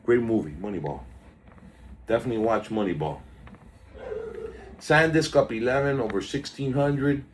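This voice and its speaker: A man's voice: mid-range voice, darkish tone, slight background noise. A man hosting a casual stock market video, chatty and drifting off topic while reading out stock moves, recorded on a phone. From South Florida. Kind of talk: casual rambling market commentary.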